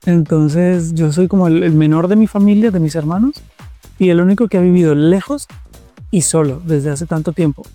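A young man from Colombia, speaking slowly and reflectively in an interview with frequent restarts. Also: on the high side, mellow, soft background music. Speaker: interview guest. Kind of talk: personal interview.

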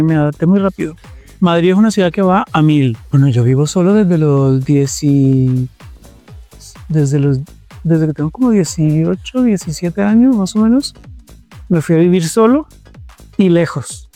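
A young man from Colombia, speaking slowly and reflectively in an interview with frequent restarts. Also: fairly high pitch, warm tone. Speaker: interview guest. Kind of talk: personal interview.

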